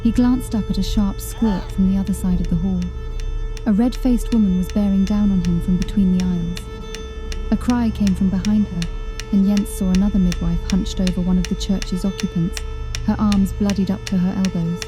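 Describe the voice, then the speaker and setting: A woman's voice: medium pitch, fairly even intonation, normal speed, music in the background. A woman reading a scripted horror story as an audiobook narrator, in a dramatic storytelling style.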